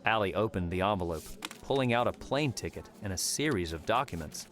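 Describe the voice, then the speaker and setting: A man's voice: on the low side, lively intonation, fairly quick, a bit bright. A man reading scripted story narration as an audiobook narrator.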